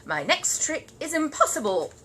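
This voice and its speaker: Young bear magician voiced by a woman; small, courteous, ceremonious storybook character, lively intonation, some background noise. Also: sits high, normal speed, voice pushed up, full character voice. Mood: earnest, ceremonious. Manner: solemnly announcing, as if on stage. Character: small, earnest, polite young bear conjuror.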